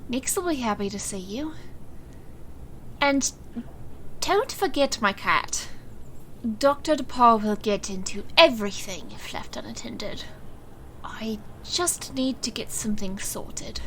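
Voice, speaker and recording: Woman playing a slow-spoken, guarded, composed woman. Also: a little high, expressive, fairly bright tone, slight background noise, heavily acted, poor audio. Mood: calm, reassuring. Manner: measured, composed. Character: calm, guarded, secretive woman in charge, dryly protective. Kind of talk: audio drama acting.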